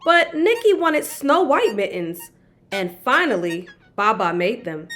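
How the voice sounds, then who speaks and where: A woman narrating a children's picture book in an expressive storytelling manner. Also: on the high side, slight background noise. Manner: slow, animated storytelling. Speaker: children's read-aloud host. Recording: music behind the voice. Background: background music.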